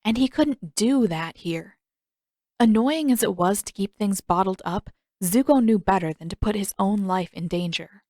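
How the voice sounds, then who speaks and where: A woman narrates fiction in the manner of an audiobook, reading from a script. Her voice sits at a middle pitch. The recording is dry, with hardly any room sound around her. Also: normal speed, dark tone, dry recording.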